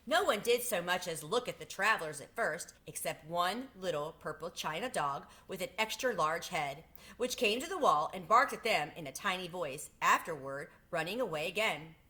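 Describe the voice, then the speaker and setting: A woman's voice: on the low side, expressive, normal speed, sharp tone. A woman reading a children's storybook aloud as a storyteller.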